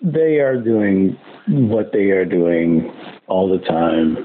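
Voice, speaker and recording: A man chatting casually as a podcast co-host, halting as he searches for his words. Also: deep voice, sing-song. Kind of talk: casual chat. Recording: tinny phone-like line with clear noise.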